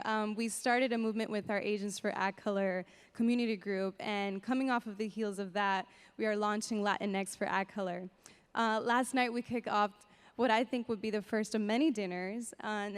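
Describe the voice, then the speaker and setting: A woman's voice: medium pitch, clean tone. A woman host giving an upbeat welcome speech on a conference stage. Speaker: event host. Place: large hall stage. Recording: reverberant hall.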